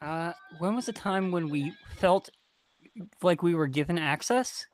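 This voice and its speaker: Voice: medium pitch, lively intonation, measured pace. A young adult podcast panelist speaking casually, frankly and emphatically in a group discussion.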